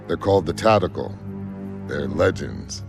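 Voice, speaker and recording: A man's voice: low voice, a little slow. A man playing a tough, protective street racer leader in a game cutscene, speaking in terse, laconic lines. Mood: calm, tough. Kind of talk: video game cutscene acting. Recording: dramatic music behind the voice.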